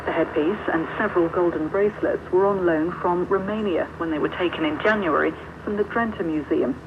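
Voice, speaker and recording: A shortwave broadcast comes through thin and narrow, with strong static. Over it a news reader with a medium-pitched voice reads a scripted world bulletin. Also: brisk. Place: radio studio, heard over shortwave receiver. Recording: noisy tinny shortwave radio reception. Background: loud radio static.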